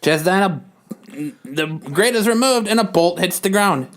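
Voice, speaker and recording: A podcast host reads comic book action aloud as narrator. The delivery is casual and amateur, with very animated swings in pitch. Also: a little slow.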